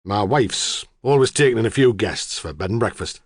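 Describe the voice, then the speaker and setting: A man's voice: on the low side, expressive, normal speed, no room sound, studio quality. A man voicing a squat, blunt older farmer who talks brusquely and impatiently. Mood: gruff.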